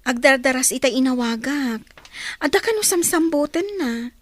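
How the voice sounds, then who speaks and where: A woman's voice: high voice, expressive, moderate pace, no echo. A Filipino woman playing an upset young daughter in a radio drama. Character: upset young daughter talking to her mother. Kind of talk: Ilocano radio drama acting.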